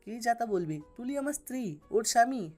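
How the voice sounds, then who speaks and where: An assertive young husband, voiced by a woman, speaks in a mellow, fairly high voice. It is an audio drama with music playing behind. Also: lively intonation, moderate pace. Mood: indignant. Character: protective young husband, assertive brother-in-law.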